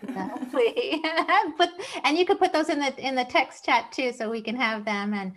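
High-voiced, gushing woman host. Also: moderate pace, a bit bright. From northwest Spain. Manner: gushing, exclamatory. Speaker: host of an online open mic. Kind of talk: casual, effusive hosting chat.

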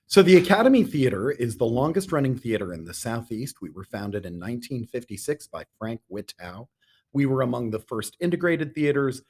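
A middle-aged man answering interview questions as a guest, measured and given to emphatic repetition. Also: medium pitch, expressive, a little mellow, breathy edge. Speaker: interview guest, theater artistic director.

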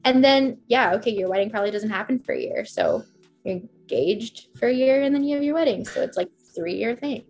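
A woman's voice: on the high side, very animated, fairly quick, darkish tone, soft background music, rough recording. A woman in her twenties, a podcast guest in casual chat, rambling and chatty with lots of "like" fillers. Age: 20s. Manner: chatty, rambling, heavy use of "like".

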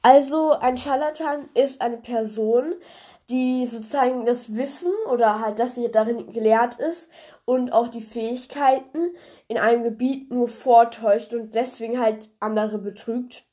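Young female podcast host, congested with a cold, chatty and meandering, slightly high voice. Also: fairly even intonation, a little slow, dark tone. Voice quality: congested, nasal voice. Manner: chatty, rambling, many fillers. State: stuffy cold. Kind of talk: casual retelling of a fairy tale.

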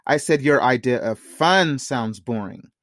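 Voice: mid-range voice, lively intonation, a little slow, no echo, pitched below the performer's own voice, close to an everyday voice. Narrator playing an easygoing young man, close dry sound. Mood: teasing, confident. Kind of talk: audiobook character dialogue.

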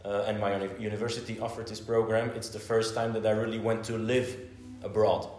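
A man's voice: lowish voice, level tone, fairly quick, a little mellow. A Belgian man with a Flemish accent, a native Dutch speaker, chatting as a guest with many stuttered restarts. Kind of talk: casual conversation. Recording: roomy echo.

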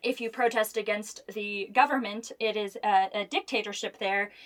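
A woman's voice: mid-range voice, steady tone, moderate pace, a bit bright. A woman with an American accent speaking as an interview guest, explaining things earnestly and emphatically in a conversational storytelling way.

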